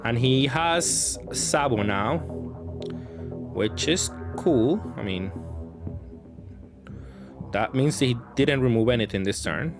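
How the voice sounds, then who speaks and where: Man thinking aloud over background music. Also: medium pitch. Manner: hesitant thinking aloud, trailing off. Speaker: card game player commentating his matches. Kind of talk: casual improvised gameplay commentary.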